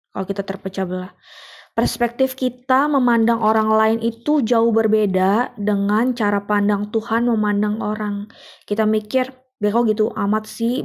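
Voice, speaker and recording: A woman's voice: medium pitch, darkish tone. A young woman with an Indonesian accent giving a casual spoken devotional, chatty and laughing often, asking her listeners questions. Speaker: devotional speaker.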